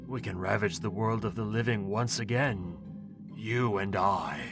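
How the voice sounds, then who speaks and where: Pompous chained necromancer, played by a man: very low voice, drawn-out delivery, wheedling, over clear music. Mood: scheming, persuasive. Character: ancient bound male necromancer, pompous and wheedling. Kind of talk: ASMR audio roleplay.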